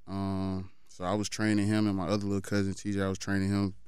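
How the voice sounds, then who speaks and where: A man in his thirties from Florida, a football trainer, talking casually as an interview guest in a laid-back way that trails off into low murmurs. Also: completely flat delivery, fast talker, darkish tone, clear, resonant tone, dry recording. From Fort Lauderdale, Florida.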